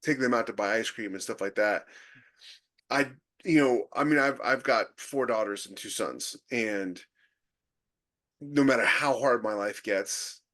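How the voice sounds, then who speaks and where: A man's voice: lowish voice, unhurried, fairly bright tone. Blunt, joking middle-aged man. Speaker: podcast co-host. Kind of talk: casual chat.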